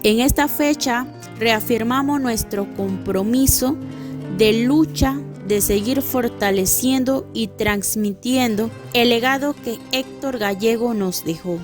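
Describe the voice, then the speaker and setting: A woman's voice: on the high side, slow. A woman narrating a formal, solemn script. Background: music.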